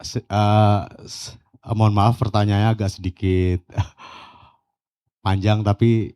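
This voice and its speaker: A man's voice: fairly low pitch, level tone, slow, warm tone, clean tone. A male journalist politely asking long questions at a press conference, hesitating with fillers.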